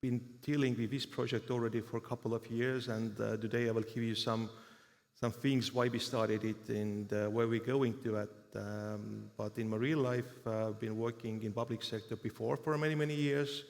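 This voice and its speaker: A man's voice: fairly low pitch, little pitch movement, moderate pace, gravelly. A man introducing himself on a conference panel, speaking hesitantly as a public sector expert. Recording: hall reverb.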